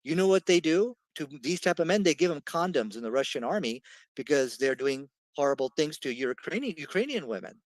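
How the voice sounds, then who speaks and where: A man's voice: fairly high pitch, animated, a little fast, no room sound. A man with an English accent debating in an assertive, dismissive way, asking rhetorical questions, on a close mic. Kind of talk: online debate.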